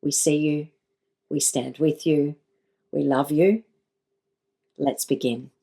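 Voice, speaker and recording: A woman hosting a podcast, reading a scripted intro and asking questions in a measured, deliberate way. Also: deep voice, steady tone, vocal fry, mellow, husky. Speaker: podcast host.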